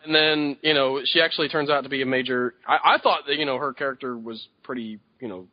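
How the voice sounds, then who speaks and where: A man's voice: mid-range voice, fast, no room sound. Man, casual wry chat, dry muffled recording. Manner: wry, teasing. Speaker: podcast co-host.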